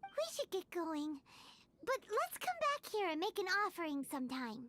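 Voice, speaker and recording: A woman's voice: very high-pitched, big pitch swings, normal speed, a bit bright, full character voice. An actress voicing a tiny, childlike female game companion who talks about herself in the third person. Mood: earnest.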